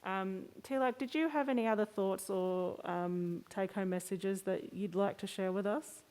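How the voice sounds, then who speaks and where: A woman moderating a question-and-answer session, speaking in hesitant, halting phrases. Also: medium pitch, a little mellow, poor audio.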